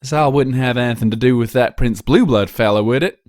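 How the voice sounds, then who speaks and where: A man's voice: mid-range voice, fairly quick, a little mellow, no echo, clean studio sound, pitched above the performer's own voice, lightly acted. A man voicing a blunt, plain-spoken female farm pony with a folksy drawl in a story reading. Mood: skeptical.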